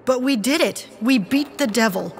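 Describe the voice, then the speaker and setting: Actress as loyal woman, wry, matter-of-fact, slightly high voice, radio drama. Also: expressive, normal speed, slight background noise. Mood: warm, relieved. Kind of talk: audio drama acting.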